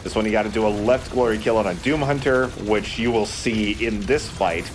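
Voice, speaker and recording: Male let's player, lowish voice, casual and explanatory with a sarcastic edge, game noise behind. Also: a little fast. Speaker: let's play host and player. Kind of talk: casual gaming commentary. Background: video game ambience.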